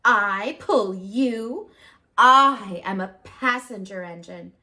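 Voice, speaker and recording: A woman voicing a haughty, snobbish male passenger engine in a storybook read-aloud, with pompous, emphatic, drawn-out delivery. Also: medium pitch, lively intonation, bright, forceful tone, voice pushed down. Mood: haughty, scornful.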